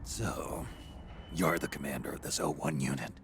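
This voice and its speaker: An actor playing a gruff, weary legendary soldier aged before his time, with a rasping growl and terse, blunt delivery in a dramatic video game. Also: a bass voice, fairly even intonation, normal speed, noisy background. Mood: quiet, probing.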